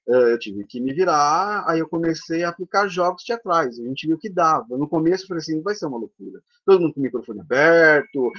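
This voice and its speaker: A man's voice: on the high side, fairly bright tone. A man with a Brazilian accent, a theatre teacher for older adults, giving a conference presentation over slides in a chatty way, often tagging on little questions. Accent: Brazilian Portuguese. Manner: chatty, peppered with tag questions. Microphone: video call. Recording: dull lo-fi online call audio.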